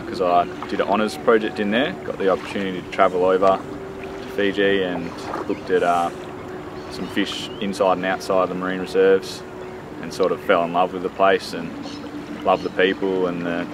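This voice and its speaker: Low, flat-voiced young Australian man. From Western Australia. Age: young adult. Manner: relaxed, loose, with frequent fillers. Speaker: PhD researcher. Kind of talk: casual interview to camera. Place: outdoors on a sandy riverside beach. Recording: outdoor noise behind voice.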